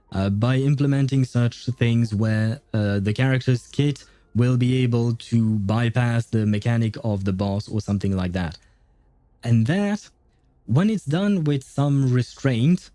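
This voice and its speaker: Mellow, chatty male livestreamer. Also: medium pitch, no room sound. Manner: rambling, easygoing, chuckling. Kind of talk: casual livestream chat about games and life.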